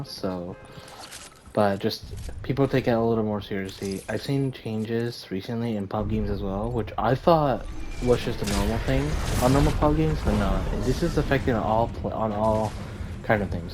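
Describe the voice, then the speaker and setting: Tired, low-voiced young man rambling. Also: a little mellow, a little hiss or hum. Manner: rambling with many fillers and restarts. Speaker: gaming YouTuber. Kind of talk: casual gameplay commentary.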